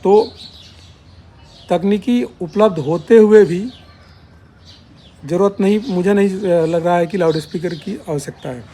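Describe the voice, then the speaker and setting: A man hosting a formal, measured explainer, speaking haltingly in short broken phrases. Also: high-pitched, mellow. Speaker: YouTube channel host. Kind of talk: spoken explainer, point by point.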